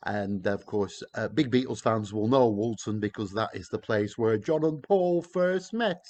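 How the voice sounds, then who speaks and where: A man's voice: fairly low pitch, a little mellow. A middle-aged man with a Lancashire accent, chatting casually and joking with viewers on a live stream from his back garden. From Blackburn, Lancashire, England. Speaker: tour guide hosting a live chat.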